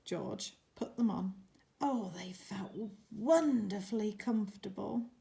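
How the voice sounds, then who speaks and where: A woman reading a children's storybook aloud expressively, with dramatic pauses and chatty asides. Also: medium pitch, vocal fry, dark tone.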